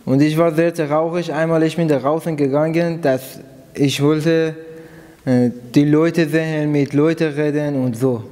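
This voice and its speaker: A man from Iran giving a personal testimony in halting, phrasing, pausing after each short part. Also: on the high side, fairly even intonation, dark tone. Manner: simple non-native German, halting phrasing. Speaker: church member giving testimony. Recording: long hall reverb.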